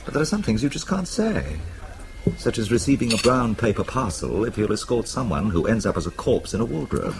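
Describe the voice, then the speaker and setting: An actor playing a wry, evasive British husband in a radio drama, dry and sardonic with a British accent. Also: male voice, fairly low pitch, big pitch swings, a little fast, creaky, background noise. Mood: wry, exasperated.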